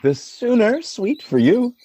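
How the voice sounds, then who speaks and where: A man playing a proud military commander and loving husband turning suspicious, in theatrical Shakespearean radio play style, swinging from tender to forceful. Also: very high voice, very animated, slow, slightly rough, exaggerated voice. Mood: tender, indulgent.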